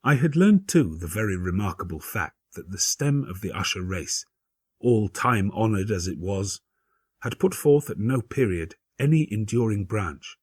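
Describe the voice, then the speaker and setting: Male narrator for dramatic gothic audiobook. Husky, lowish voice, formal and grave, theatrical phrasing. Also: lively intonation, moderate pace, no echo, clean studio sound.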